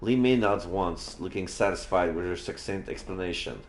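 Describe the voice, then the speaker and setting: Low-voiced male streamer in an echoey room. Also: normal speed, a little hiss or hum. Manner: casual, reacting as he reads. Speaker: live streamer. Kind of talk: reading a visual novel aloud with commentary.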